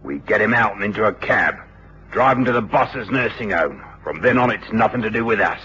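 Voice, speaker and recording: A forceful, bossy British henchman. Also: lowish voice, brisk, breathy edge, full character voice. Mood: cool, calculating. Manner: hard, matter-of-fact, ordering. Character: cool, bossy criminal henchman taking charge. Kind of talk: old radio drama acting. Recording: dull, hissy old radio recording.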